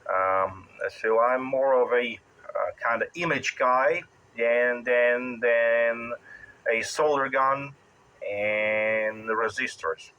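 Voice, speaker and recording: Bright-voiced Polish man, halting delivery. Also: medium pitch, steady tone, lo-fi sound. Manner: halting, wry, rambling. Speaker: amateur radio operator. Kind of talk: casual radio chat.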